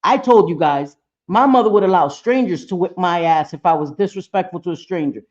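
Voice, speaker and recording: Blunt, low-voiced woman on a dull stream. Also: brisk, a little mellow. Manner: blunt, profane, laughing often. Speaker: livestream host. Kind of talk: casual reaction commentary.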